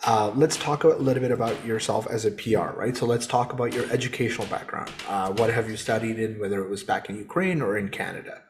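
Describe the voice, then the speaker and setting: A young adult man hosting a podcast interview in a relaxed, casual way, with frequent fillers. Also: fairly low pitch, quick pace, few pauses, a little hiss or hum. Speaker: podcast host.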